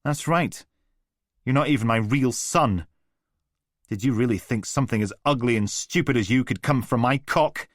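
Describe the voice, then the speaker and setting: A man's voice: medium pitch, moderate pace, dry recording, studio quality, pitched above the performer's own voice, lightly acted. A man voicing a drunken, cruel, volatile father whose menace rises into bellowing rage.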